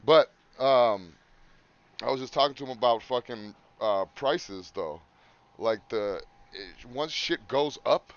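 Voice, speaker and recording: A loud man co-hosting a podcast, rambling and swearing in casual chat. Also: mid-range voice. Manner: rambling, swears often, laughs. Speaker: podcast co-host. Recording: dull lo-fi sound.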